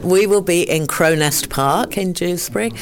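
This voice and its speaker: A woman's voice: lowish voice, unhurried. A woman from Leeds, speaking enthusiastically and fluently as a guest on a community radio interview, with occasional restarts. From Leeds, England. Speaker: arts charity organiser.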